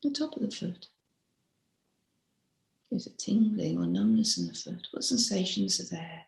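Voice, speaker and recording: A woman mindfulness teacher leading a slow guided meditation in a gentle, unhurried, instructive way. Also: on the low side, wildly swooping pitch, gravelly. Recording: roomy, echoey sound.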